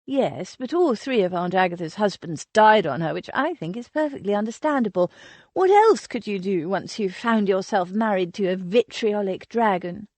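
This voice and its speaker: A woman's voice: medium pitch, lively intonation, normal speed, a little mellow, no echo, natural voice. A woman voicing a confident, wry young woman in a storybook reading, speaking with a teasing, playful edge.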